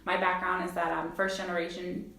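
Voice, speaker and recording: A woman's voice: on the low side, level tone, brisk, pushed and bright. A woman who grew up in Boston and runs a recruiting company, speaking concisely and matter-of-factly in an interview. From Boston, United States. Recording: echoey room, distant mic, faint noise.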